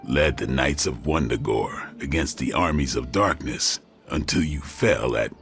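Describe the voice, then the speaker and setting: An actor playing a cool, gruff vampire hunter with a sardonic, dry manner in a video game. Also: male voice, very deep voice, animated, a little slow, fairly bright tone, soft background music, full character voice. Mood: matter-of-fact.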